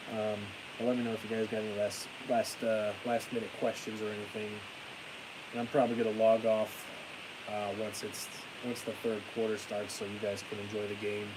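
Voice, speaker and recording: A young man chatting on a live stream with a stuffy nose and a fading voice, ranting excitably and then trailing off into tired mumbles. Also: on the low side. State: stuffy-nosed, losing his voice. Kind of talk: casual live stream chat. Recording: hissy home stream mic.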